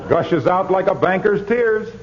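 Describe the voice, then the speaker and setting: A man's voice: sits high, a little slow, over a noisy crowd. An American man playing a chummy, wisecracking salesman and announcer in 1940s radio comedy, breezy and punning. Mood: breezy, teasing. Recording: muffled, noisy 1940s radio recording.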